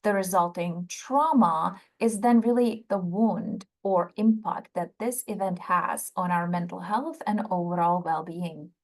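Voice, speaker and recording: A middle-aged woman with a Czech accent, a psychologist giving a prepared webinar lecture in a measured, explanatory way, often starting with "so" and pausing on "um". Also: on the low side. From Czech Republic.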